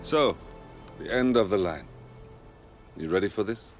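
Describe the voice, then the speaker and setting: Wry, low-voiced ally. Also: male voice, animated, a little slow. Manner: wry, easygoing and sardonic. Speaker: narrator and ally. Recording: game cutscene over music.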